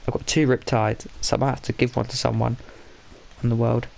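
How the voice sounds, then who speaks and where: A man's voice: medium pitch, flat delivery, brisk, gravelly, darkish tone, low-quality recording. A man with a blocked, stuffy nose giving casual, rambling commentary while he plays a game, often correcting himself and muttering to himself. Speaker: gaming channel host.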